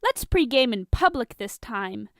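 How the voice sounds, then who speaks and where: A woman's voice: a little high, sing-song, unhurried, a bit bright, no room sound, studio quality. A woman voicing a playful, teasing billionaire man with a sarcastic, goading way of talking, in a fan fiction reading. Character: playful, teasing, hard-partying billionaire man, smirking wisecracker.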